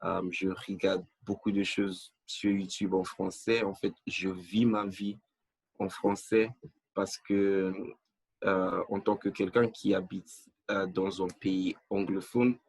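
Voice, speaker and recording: A man's voice: low voice, level tone, slow pace, a little mellow, husky, dry recording. A young man from Nigeria, a podcast guest, talking hesitantly with many restarts and fillers. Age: young adult. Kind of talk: casual interview chat.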